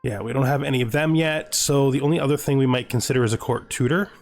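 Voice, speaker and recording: A man's voice: medium pitch, fast talker, a little mellow. Man thinking aloud over a strategy game, music behind. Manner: talks through decisions to himself, often asks "right?". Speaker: gaming video host playing a strategy game. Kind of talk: casual let's-play commentary, thinking aloud.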